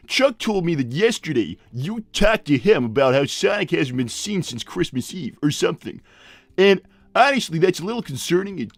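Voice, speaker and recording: Glib cartoon animal boss, played by a man: blunt and rambling, highish lively voice, dry close sound, music behind. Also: no room sound. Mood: nonchalant. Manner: rambling, blunt, breezy, many digressions. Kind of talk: improvised live character roleplay.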